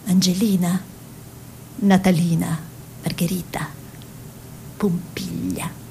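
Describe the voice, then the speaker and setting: A woman playing a dreamy, proud young peasant girl in a theatrical radio monologue, speaking in short incantatory phrases with hushed repetitions. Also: flat delivery, slow pace, creaky. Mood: plaintive. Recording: noisy recording with low rumble.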